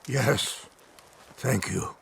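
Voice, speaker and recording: An actor playing a solemn, gentle older chief in a cinematic video game, husky and measured in his speech. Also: medium pitch, full character voice.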